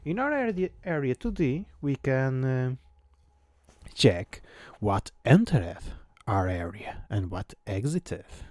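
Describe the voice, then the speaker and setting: A man's voice: medium pitch, sing-song, slow, darkish tone, no room sound. A man who is not a native English speaker, talking casually while coding a game on a live stream and muttering his thoughts aloud with many fillers. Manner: thinks aloud, mutters to himself, frequent fillers.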